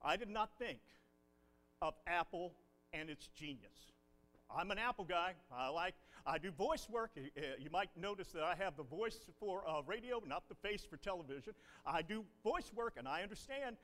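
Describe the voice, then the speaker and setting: A man, a visiting preacher leading a Bible class and prayer in a folksy, animated way. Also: high voice. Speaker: guest preacher. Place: church building.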